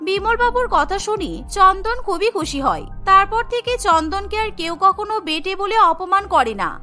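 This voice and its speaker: A woman's voice: very high voice, animated, normal speed, bright, forceful tone, soft background music. A woman narrating a scripted cartoon story as its storyteller.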